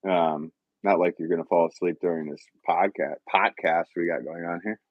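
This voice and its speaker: A man's voice: deep voice, steady tone, moderate pace, no echo, highs cut off. A man from Connecticut hosting a casual live-stream podcast, rambling with lots of fillers and frequent laughter. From Connecticut, USA. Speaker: podcast host.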